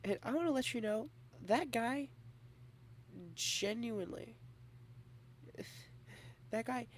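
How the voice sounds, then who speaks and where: A young adult woman in a girlfriend ASMR roleplay, rambling shyly and softly, dropping into whispers at times. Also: on the high side, takes it slowly, a little hiss or hum. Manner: shy, rambling, soft-spoken with whispered asides.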